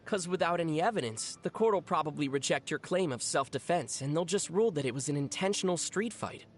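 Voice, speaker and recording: A man's voice: a little high, animated, a little fast, loud music behind the voice, lightly acted. An actor playing a composed young man in a video game, speaking matter-of-factly. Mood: calm, matter-of-fact.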